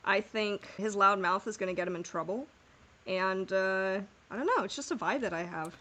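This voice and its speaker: A woman of medium pitch talks as a podcast co-host. Her delivery is casual fan chat, and she offers opinions tentatively, qualifying them as she goes. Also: moderate pace, sharp tone. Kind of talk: casual fan discussion and speculation.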